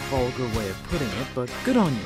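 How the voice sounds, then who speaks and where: A pompous British cartoon swordsman, acted by a man, speaks with lofty formality. His medium-pitched voice swoops expressively from phrase to phrase. Faint music plays behind him. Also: big pitch swings, fast talker, lightly acted. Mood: smug, composed. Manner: grandiloquent, formal. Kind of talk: cartoon parody dub.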